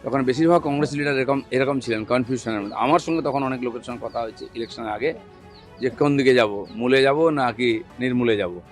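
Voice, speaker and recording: Male politician, middle pitch, impromptu remarks to press, faint background music. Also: fast talker.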